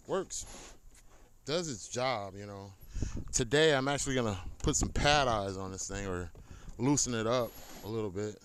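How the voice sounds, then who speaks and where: An American man giving a casual, unscripted gear walkthrough as a hobby fisherman, rambling in a folksy, informal way into a tablet. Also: fairly low pitch. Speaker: hobby kayak fisherman showing his gear. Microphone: handheld tablet, moving nearer and farther. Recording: noisy tablet audio, distance from mic shifting.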